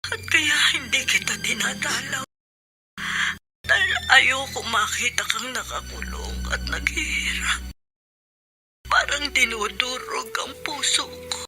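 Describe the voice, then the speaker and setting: A woman's voice: sits high, expressive. An actress with a Filipino accent playing a loving, sorrowful middle-aged mother in a radio drama, pleading tearfully with her son. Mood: anguished, pleading. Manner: slow, tearful, breathy pleading. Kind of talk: Tagalog radio drama acting. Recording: music under the voice. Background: background music.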